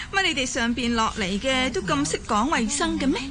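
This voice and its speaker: A woman's voice: high-pitched, lively intonation, normal speed. A woman acting a nagging, scornful Hong Kong housewife in a Cantonese radio drama, scolding and sarcastic. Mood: sneering. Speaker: voice actor in an audio drama.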